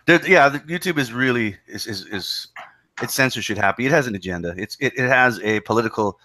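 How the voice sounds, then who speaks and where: A man hosting a casual online group chat, blunt and quick with short interjections. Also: medium pitch, animated, sharp tone, no echo. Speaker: host.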